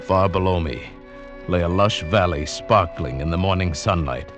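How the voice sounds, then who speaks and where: A man's voice: low-pitched, close to an everyday voice. Man acting a dramatic mountain guide, vintage radio. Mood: hushed wonder. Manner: dramatic, measured storytelling. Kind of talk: 1940s-50s radio drama acting and narration. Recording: vintage radio recording with music.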